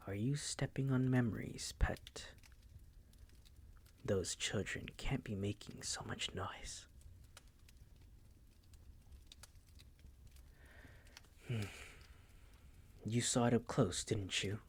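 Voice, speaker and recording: A suave vampire master, played by a man, speaks slowly in a hushed, breathy near-whisper. He is possessive and predatory, teasing with silky condescension in an intimate audio roleplay. The voice sits on the low side. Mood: brooding, possessive.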